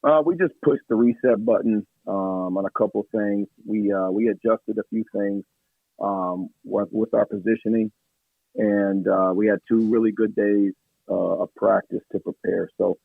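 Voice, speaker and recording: Hoarse, gravelly man on a phone line. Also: fairly low pitch, fairly even intonation, no room sound. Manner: measured, many "um" fillers. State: hoarse from yelling at practice. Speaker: assistant basketball coach. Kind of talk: radio interview answers.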